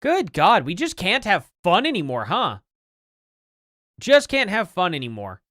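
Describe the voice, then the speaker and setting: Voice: medium pitch, huge pitch swings, a little slow, fairly bright tone, no echo. Chatty American livestreamer, close dry sound. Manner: informal, rambling, chatty, addresses viewers as guys and y'all. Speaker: livestream host. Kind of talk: casual livestream chat, reacting to clips and news.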